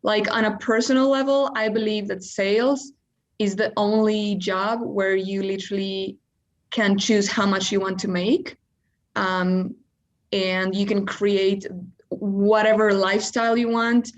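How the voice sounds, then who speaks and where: Earnest woman pitching with conviction. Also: flat delivery, unhurried, lo-fi sound. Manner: earnest, emphatic, says literally often. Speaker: contestant. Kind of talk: enthusiastic pitch.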